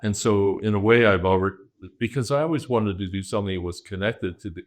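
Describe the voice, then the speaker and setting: Male Canadian architect guest, deep, reflective casual talk. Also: fast talker. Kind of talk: casual conversation.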